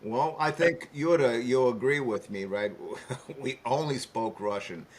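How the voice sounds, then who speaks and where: A man's voice: mid-range voice, measured pace, slightly rough. A man co-hosting a podcast who chats casually and keeps checking in with "right?". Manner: chatty, keeps asking "right?". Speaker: co-host.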